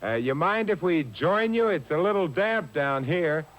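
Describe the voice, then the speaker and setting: An actor playing a suave, unflappable amateur sleuth with a dry, urbane manner in a 1950s radio mystery. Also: male voice, on the high side, lively intonation, a little slow. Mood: dry, polite. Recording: noisy old radio broadcast taped on cassette.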